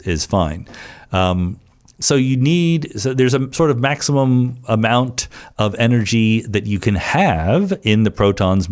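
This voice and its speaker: A man with a fairly low voice speaks as a podcast host answering listeners. He explains things informally and conversationally, dropping in rhetorical questions and asides. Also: a little mellow.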